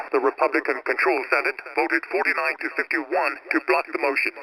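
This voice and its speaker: A man reading a scripted news bulletin in a formal, measured way, heard over a crackly shortwave radio broadcast. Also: a little high, gravelly. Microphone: broadcast heard over shortwave radio receiver.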